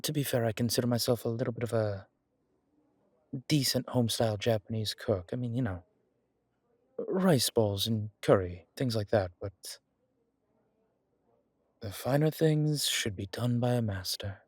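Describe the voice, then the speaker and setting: A man playing a charming, smitten mafia boss on a date in an intimate, conversational audio roleplay, teasing and earnest with a husky voice. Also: mid-range voice, normal speed, long pauses, a little mellow, no echo, lightly acted. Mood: playful, bantering.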